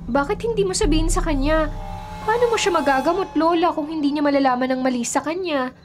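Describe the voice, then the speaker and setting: A woman plays a concerned Filipino teenage girl with a very high voice. Her delivery is questioning, in radio drama style. Clear music runs beneath. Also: quick pace, close to an everyday voice. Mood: concerned, insistent. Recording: music bed under the dialogue.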